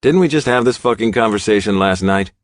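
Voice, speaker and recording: A man performs a stubborn young outlaw biker with a deep voice. He is hot-headed and forceful, barking and growling his lines in a dry, close audiobook sound. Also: lively intonation, a little fast, no echo, natural voice. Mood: angry, defiant.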